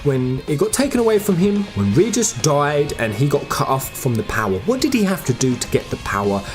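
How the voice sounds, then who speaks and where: A man's voice: on the high side, big pitch swings, brisk, faint music behind. A man giving informal fan theory commentary as a channel host, speaking emphatically and directly to his viewers. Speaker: host of a video game lore channel.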